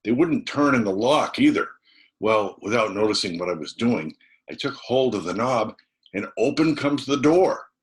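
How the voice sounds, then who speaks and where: A man voicing a frightened, excited adventurous small-town boy in a storybook, talking in a breathless, folksy rustic way. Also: mid-range voice, normal speed, pitched above the performer's own voice, close to an everyday voice. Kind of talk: dramatised storybook reading.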